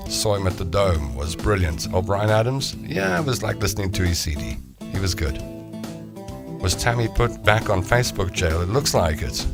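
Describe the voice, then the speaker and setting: A man's voice: deep voice, sing-song, moderate pace. A middle-aged man hosting a live show, chatting casually and self-mockingly as he reads out viewer comments. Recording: music playing behind the voice.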